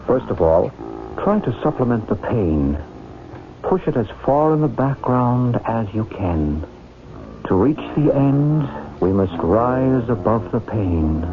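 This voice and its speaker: A man playing a calm, commanding psychic healer who guides a trance in a measured, solemn way, in old-time radio drama style. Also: mid-range voice, background noise. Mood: solemn, soothing. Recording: thin narrow-band old radio recording, faint music.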